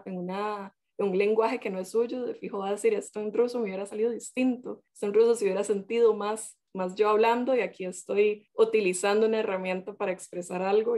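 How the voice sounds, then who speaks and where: A woman reasons aloud in a relaxed book conversation, her thoughts coming in halting bits with repeated words. Her voice sits at an ordinary middle pitch. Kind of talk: casual literary discussion.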